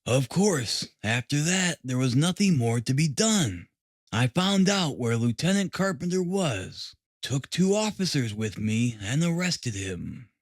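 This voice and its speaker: A man's voice: mid-range voice, big pitch swings, a little slow, dry recording, studio quality. A man voicing a pompous police detective in an audiobook, formally and smugly laying out his case. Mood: self-satisfied. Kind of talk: audiobook character reading.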